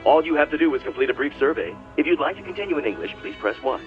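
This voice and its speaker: A man's voice: fairly high pitch, very animated, fast talker, soft background music. An actor playing an upbeat automated telemarketing recording in a radio drama, with canned salesman patter through a telephone filter. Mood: canned cheer. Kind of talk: scripted sales announcement in a radio play. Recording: dull band-limited telephone sound.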